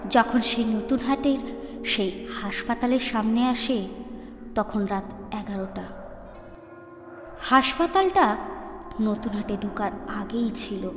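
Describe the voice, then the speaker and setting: A woman storyteller narrating a ghost story dramatically, with deliberate, suspenseful phrasing and pauses. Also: on the high side. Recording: echoey long reverb, music underneath.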